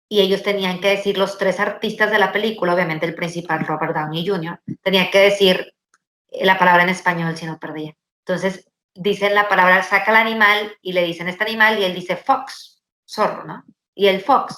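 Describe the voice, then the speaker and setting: A woman chats casually and tells animated stories, leaning on fillers as she goes. Her voice is bright, and the room around her is echoey. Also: medium pitch. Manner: animated storytelling, many fillers. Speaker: podcast host. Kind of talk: casual chat.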